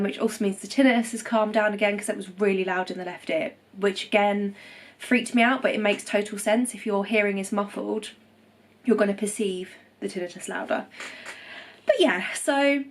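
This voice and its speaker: A young woman talking casually to camera at home, a bit stuffed-up after nose surgery, chatty and reassuring with lots of ums. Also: medium pitch. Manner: chatty, reassuring, many ums and self-corrections. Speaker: vlogger. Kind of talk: casual recovery vlog to camera.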